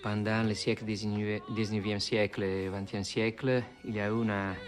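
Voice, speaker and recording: A man with an Italian accent giving an explanatory tour-style talk in halting, hesitant phrases. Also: fairly low pitch, little pitch movement. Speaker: opera house representative, interviewee.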